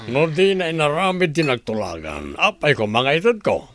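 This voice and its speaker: A man's voice: fairly high pitch, sing-song, normal speed, a bit bright. A man acting a stern, scolding older father in an Ilocano radio drama, with emphatic, reproachful questioning.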